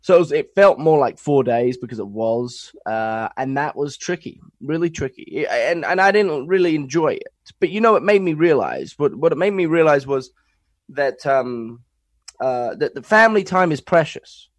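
A young man co-hosting a podcast from home, nasal and congested from a cold, talking in halting restarts. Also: fairly high pitch, expressive, dry recording. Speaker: podcast co-host. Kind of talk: casual podcast chat.

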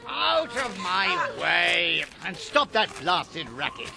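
A man's voice: sits high, very animated, slow pace, vocal fry, full character voice. An actor playing a cold, miserly old businessman in a radio drama, curt and stern. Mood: stern, demanding.

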